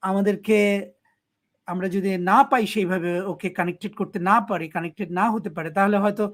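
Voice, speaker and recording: A man's voice: high voice, fairly even intonation, racing. A man hosting an online poetry event, switching between languages and repeating himself insistently. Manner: repetitive, insistent, code-switching. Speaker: program host.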